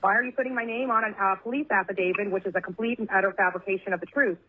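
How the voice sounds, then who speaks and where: A woman's voice: medium pitch, fairly even intonation, very fast. A woman speaking insistently and accusingly in a confrontational phone message. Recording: tinny, narrow phone-line audio.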